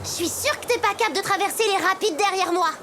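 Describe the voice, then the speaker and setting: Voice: extremely high pitch, fast talker, creaky. An actor voicing a bold, spirited young female wolf cub in a French cartoon, feisty and challenging. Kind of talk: animated cartoon dub, French. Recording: loud music behind.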